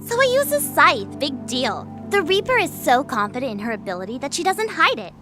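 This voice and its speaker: Animated, high-voiced sly death goddess played by a woman. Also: brisk. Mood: playful, cocky. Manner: teasing, casual, self-assured. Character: confident, sly female death goddess who foresees kills. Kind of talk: scripted audio drama acting. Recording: music under the voice. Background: music.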